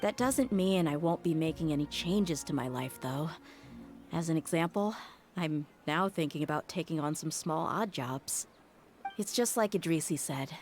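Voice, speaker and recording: An actress playing a tough, candid young female mercenary in a video game, wistful but resolute, speaking in a measured, reflective way. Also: deep voice, expressive, darkish tone. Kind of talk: scripted game dialogue. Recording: prominent music under the voice.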